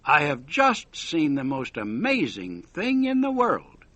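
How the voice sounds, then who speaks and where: A man voicing an excited gentleman neighbour, gushing and gasping in amazement as part of a read-aloud story. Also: fairly high pitch, slow, no echo, heavily acted. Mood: excited, amazed. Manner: gasping, exclamatory.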